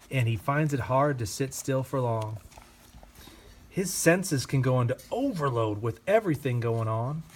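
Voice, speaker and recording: A man reading a children's picture book aloud with gentle, expressive storytelling. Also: mid-range voice. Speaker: reader.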